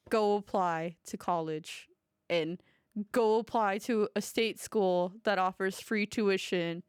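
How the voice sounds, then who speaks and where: A woman's voice: mid-range voice, clear, resonant tone, no echo, clean studio sound. A woman in her thirties hosting a casual podcast, talking in a chatty, rambling way with lots of "like" and easy giggles. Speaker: podcast host.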